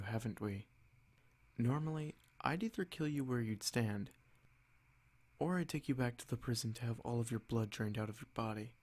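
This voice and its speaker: A man voicing an arrogant, possessive male vampire in a measured, softly taunting ASMR roleplay monologue. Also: medium pitch, natural voice.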